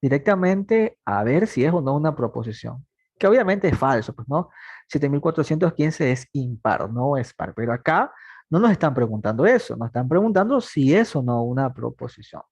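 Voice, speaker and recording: A man's voice: medium pitch, very animated, moderate pace, darkish tone, slightly rough, no room sound. A man in his twenties teaching a math logic class, patiently asking students questions and then answering them himself. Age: 20s. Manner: repeats points, talks plainly to students. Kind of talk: online class lecture.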